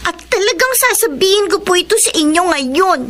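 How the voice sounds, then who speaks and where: Woman acting high-voiced, sullen young daughter. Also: expressive, normal speed. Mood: upset, grave. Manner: polite but grave. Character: sullen, suspicious young daughter warning her mother. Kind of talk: melodramatic audio drama acting.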